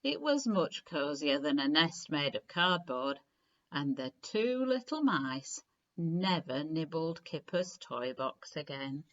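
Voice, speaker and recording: A woman reading a children's storybook aloud in an expressive, deliberate way, with clear phrasing and dramatic emphasis. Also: sits low, highs cut off. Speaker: story reader.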